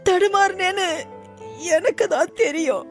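Woman playing a very high-voiced young girl left behind by her parents, sobbing, slow and pleading, soft music under it. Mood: sobbing despair. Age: young adult. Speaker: daughter. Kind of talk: radio-style drama acting.

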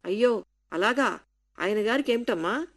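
A woman's voice: mid-range voice, animated, measured pace. A woman reading the part of a kind, caring housemaid who coaxes and comforts. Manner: warm, coaxing.

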